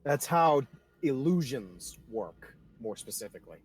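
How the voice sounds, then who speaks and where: A man's voice: medium pitch, very animated, a little slow, soft background music. A man talking casually and swearing freely as game master in a livestreamed tabletop game. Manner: informal, sweary.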